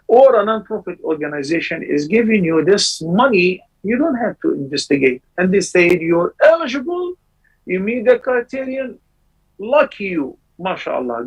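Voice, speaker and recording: A man hosting a live call-in show, answering callers' religious questions in measured explanations. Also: slightly rough, poor audio. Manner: measured explanations with sudden lively rises in pitch. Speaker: scholar answering viewers' calls.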